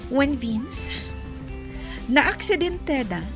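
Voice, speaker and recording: A woman plays a gracious young heiress in a radio comedy. She speaks high and slow, with a voice that rises and falls a lot. Music plays steadily behind her. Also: lively intonation, exaggerated voice. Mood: wistful. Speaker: wealthy heiress. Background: background music.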